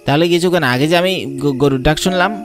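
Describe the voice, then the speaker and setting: A man's voice: a little high, quick pace, over music, close to an everyday voice. A man voicing an uneasy, spooked young village layabout in a cartoon, nervy. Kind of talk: cartoon voice acting.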